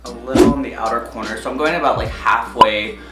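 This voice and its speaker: A man hosts a makeup tutorial, calmly explaining each step as he goes and chatting easily with his guest. His voice sounds roomy, as if recorded in an echoing room at home, with music clearly playing behind him. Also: mid-range voice, quick pace. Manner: steady, explanatory, walks through each step. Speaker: beauty vlogger host. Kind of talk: makeup tutorial and casual chat. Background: background music.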